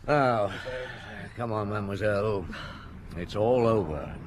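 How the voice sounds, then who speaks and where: An actor playing a shrewd, authoritative chief inspector with a husky voice in a radio murder mystery. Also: male voice, fairly low pitch, unhurried, slight background noise, close to an everyday voice. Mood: stern questioning. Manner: probing, authoritative, wry. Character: shrewd, commanding male police chief inspector.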